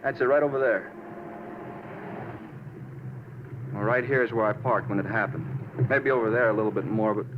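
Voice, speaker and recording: An actor in a 1950s radio drama playing a moody, self-justifying murder suspect who rambles insistently and casually. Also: male voice, lowish voice, fast talker, natural voice. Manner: rambling, insistent, casual about his crime. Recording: muffled 1950s radio, faint noise.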